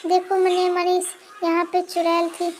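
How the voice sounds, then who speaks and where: A woman doing a shrill, terrified mother. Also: level tone, a little slow, a bit bright, a little hiss or hum. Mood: frightened. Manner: stammering, shrill pleading. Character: terrified young mother, panicky.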